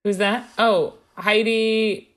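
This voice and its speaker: A woman's voice: mid-range voice, steady tone, takes it slowly, fairly bright tone, a little hiss or hum. A woman co-hosting a casual podcast, reading from her notes with wry, jokey asides. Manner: wry, jokey, playfully conspiratorial. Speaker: podcast co-host.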